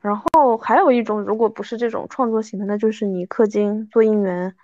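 A woman's voice: medium pitch, fairly quick, darkish tone, dry recording, muffled recording. A woman in her thirties chatting casually as a podcast guest, in a self-deprecating, conversational way. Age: 30s.

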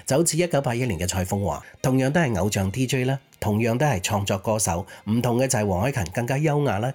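A man's voice: fairly low pitch, a little mellow, husky. A man presenting a music programme with chatty, explanatory commentary in a conversational style. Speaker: music radio or podcast host.

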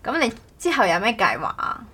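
A woman's voice: fairly high pitch, huge pitch swings, brisk, bright, forceful tone. A woman hosting a casual podcast chat in a playful, teasing way. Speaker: podcast host. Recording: clearly noisy background ambience.

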